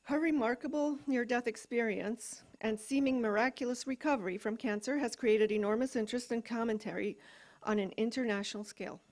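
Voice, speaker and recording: A woman at an open mic in a coffee house, reading a story aloud in a soft, hesitant way with filler ums. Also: fairly high pitch, steady tone, a little fast. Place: coffee house stage, live event.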